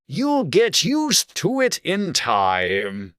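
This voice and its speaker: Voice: mid-range voice, wildly swooping pitch, very slow, fairly bright tone, dry recording, clean studio sound, pitched above the performer's own voice, exaggerated voice. A narrator voicing a haughty, languid, irritable caterpillar with a curt drawl in a dramatized storybook. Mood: aloof, languid.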